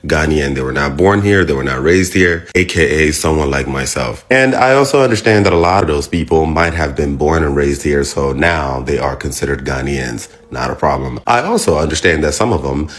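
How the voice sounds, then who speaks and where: A man's voice: rumbling low, expressive, moderate pace, few pauses. A young man from Nigeria with a mix of Nigerian and American accent, chatting casually to his viewers and asking their opinion. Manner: chatty, repeats shout-outs, laughs and teases near the end. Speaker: vlogger asking viewers a question. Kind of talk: casual direct-to-camera talk.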